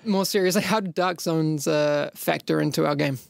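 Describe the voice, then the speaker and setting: A man's voice: a little high, normal speed, vocal fry, no echo. A man with a New Zealand accent hosting a casual podcast chat, joking and laughing often. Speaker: podcast host.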